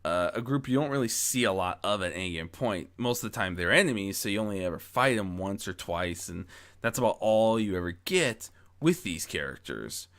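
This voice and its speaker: A man talking casually and reflectively to his followers as an amateur story narrator, rambling and correcting himself as he goes, close on a boom-arm mic. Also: animated, fairly quick, a bit bright. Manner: rambling, self-correcting, frequent false starts. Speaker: amateur audio story narrator and channel host. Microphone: close mic on boom arm with pop guard.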